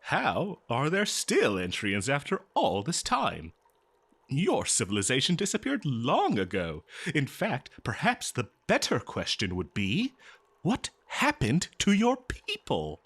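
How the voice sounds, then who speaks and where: A man playing an eager, kindly scholar in an audio roleplay, speaking politely and haltingly to a listener. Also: mid-range voice, wildly swooping pitch, fairly bright tone, slightly rough, heavily acted. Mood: curious. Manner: halting, flustered, polite, exclaiming. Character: eager, scholarly, kindly male researcher of a lost species. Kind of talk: scripted audio roleplay, one-sided dialogue.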